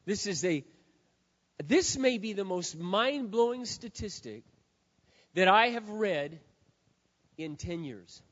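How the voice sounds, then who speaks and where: A man preaching a sermon as pastor, hoarse, swinging from quiet earnest talk to loud impassioned shouting. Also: high voice. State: hoarse, says he has lost his voice. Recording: dull sound with long hall reverb.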